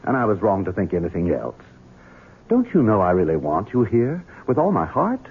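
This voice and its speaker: An actor playing a scheming gentleman in a 1970s radio drama, honeyed and wheedling with a sinister edge. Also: lowish voice, huge pitch swings, normal speed, slightly rough, heavily acted. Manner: honeyed, wheedling, turns commanding. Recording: lo-fi phone-like old radio broadcast.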